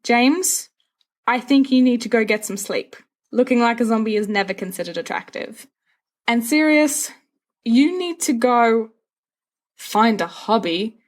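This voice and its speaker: A woman's voice: a little high, unhurried, voice pushed up, natural voice. A woman voicing a sensible teenage schoolboy in a story, dry, weary and reproving.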